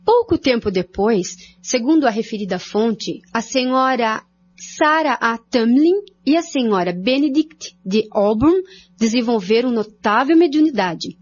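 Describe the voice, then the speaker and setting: A woman's voice: fairly high pitch, lively intonation, measured pace. A woman with a Brazilian accent reading scripted narration for a radio drama. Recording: dull lo-fi audio.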